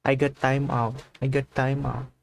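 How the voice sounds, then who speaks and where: A man's voice: medium pitch, little pitch movement, unhurried, vocal fry. A man chatting casually on a gaming livestream, using informal slang and often repeating his phrases.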